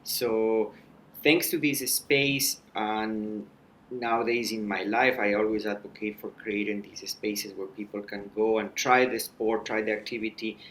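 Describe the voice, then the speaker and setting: Man, 30s, low pitch, measured, reflective, faint background noise. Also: fairly even intonation, a bit bright. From Ecuador. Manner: measured, with frequent restarts and filler. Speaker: mountain guide, podcast guest. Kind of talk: thoughtful conversational storytelling.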